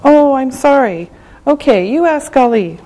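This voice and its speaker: A woman teaching English, who says each phrase slowly and clearly, repeats it, and checks in with "okay?". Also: sits high, animated, dark tone, poor audio. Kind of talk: slow, deliberate classroom teaching.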